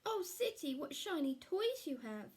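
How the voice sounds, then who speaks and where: Woman voicing an earnest high-pitched little storybook girl. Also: big pitch swings, a little slow, a little mellow. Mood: wondering. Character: earnest little girl Red, wide-eyed and apologetic. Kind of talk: storybook character voice, read aloud.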